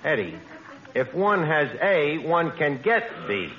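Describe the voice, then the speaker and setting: An actor playing a cocky, scheming tavern manager with a New York accent, boastful and slangy, in 1940s radio comedy style. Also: male voice, fairly high pitch, big pitch swings, slow. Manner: boastful, slangy, mangled grandiose phrases. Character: cocky, scheming working-class tavern manager, would-be ladies' man. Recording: muffled, very noisy old radio recording.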